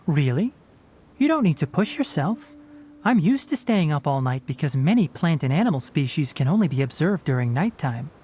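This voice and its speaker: An actor playing a young fox-eared male forest ranger and scholar in a video game, speaking in a calm, measured, earnest way. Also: on the high side, a little hiss or hum. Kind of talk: dubbed game dialogue.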